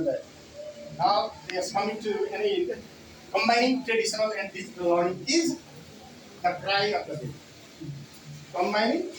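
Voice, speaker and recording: A man with a Nepali accent giving a conference talk on English teaching, with many "you know" fillers and repeated key words. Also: high-pitched. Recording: roomy hall from far off, noisy.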